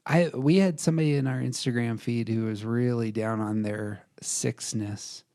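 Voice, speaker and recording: A man's voice: medium pitch, fairly even intonation, moderate pace, mellow, no room sound, studio quality. A man co-hosting a podcast in casual debate, bantering in a self-deprecating way. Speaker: podcast co-host.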